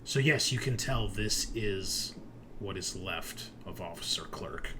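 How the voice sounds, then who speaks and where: A man's voice: low-pitched, lively intonation, slow, background noise. A man narrating a tabletop horror roleplaying game as game master, in a conversational, descriptive, improvised way.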